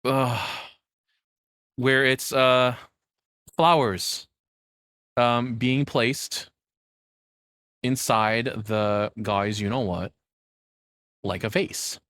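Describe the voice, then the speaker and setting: A man's voice: mid-range voice, fairly even intonation, drawn-out words, pauses often, fairly bright tone, no room sound, studio quality. A man giving casual reaction commentary as a streamer, rambling informally and searching for words.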